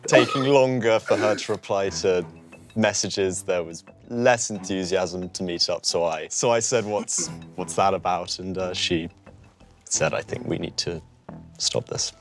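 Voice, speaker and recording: A man in his twenties, a contestant, speaking hesitantly and softly. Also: low-pitched, unhurried, soft background music. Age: 20s. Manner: hesitant, soft-spoken.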